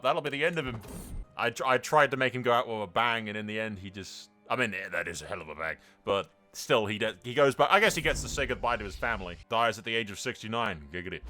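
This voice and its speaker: A man's voice: mid-range voice, quick pace, pushed and bright, soft background music. A man hosting a gaming channel, giving casual, reactive commentary on a roleplay playthrough in slangy, sweary language.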